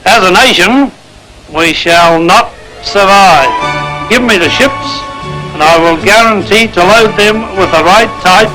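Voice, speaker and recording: A man's voice: sits high, unhurried, music in the background, poor audio. A man delivering a formal political speech in an emphatic, oratorical manner.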